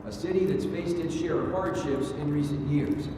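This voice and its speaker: A man's voice: a little high, natural voice. Distant, echoing male debate moderator played by a man. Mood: formal. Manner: measured, announcing. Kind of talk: scripted broadcast introduction in game drama. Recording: very noisy, distant, echoing hall.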